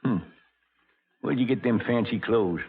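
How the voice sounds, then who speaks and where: An actor playing an older, blustering, folksy frontier saloon judge in an old radio drama, with an American Western drawl and a gravelly voice. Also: male voice, mid-range voice, measured pace, exaggerated voice. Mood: stern, sly. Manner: folksy, bombastic, self-important. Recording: muffled vintage radio recording.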